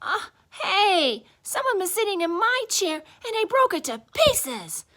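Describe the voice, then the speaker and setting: Baby bear for a children's tale, acted by a woman: small, indignant, whiny, high and very animated. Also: unhurried, heavily acted. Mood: tearful. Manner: whiny, exclaiming. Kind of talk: children's storybook character voice.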